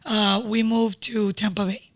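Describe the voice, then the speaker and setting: A woman in her forties with a Venezuelan Spanish accent is a guest in a podcast studio. Her English is halting and warm, with frequent hesitations. Spanish is her first language, and it shows in her phrasing. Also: mid-range voice, level tone. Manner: warm, halting, with hesitations and non-native grammar. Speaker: guest, real estate agent. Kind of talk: casual interview.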